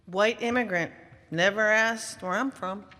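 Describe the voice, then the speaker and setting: A woman's voice: medium pitch, unhurried, bright, forceful tone. A woman reciting short scripted personal statements in a reverberant hall.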